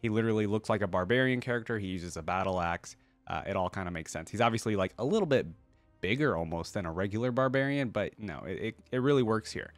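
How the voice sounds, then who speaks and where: A man's voice: fairly low pitch, animated, quick pace, no room sound, soft background music. Casual man commentating, in a dry close sound. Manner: informal slangy fan talk, addressing viewers. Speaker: reaction video host.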